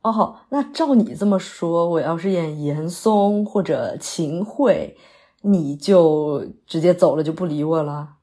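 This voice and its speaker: 一位女演员在广播剧里饰演刚下戏、略显疲惫的戏曲女演员，语气客气口语化，偶尔迟疑。. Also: female voice, lowish voice, expressive, measured pace, mellow. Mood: persuasive, friendly. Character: polite, weary female opera performer after a late show. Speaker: stage actress in an audio drama. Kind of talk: naturalistic modern audio drama dialogue.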